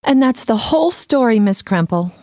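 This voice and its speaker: A woman's voice: a little high, expressive, normal speed, heavy background noise, lightly acted. A woman voicing an earnest, literal-minded young female defendant in a cartoon comedy. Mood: earnest, worried. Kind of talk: animated comedy dialogue.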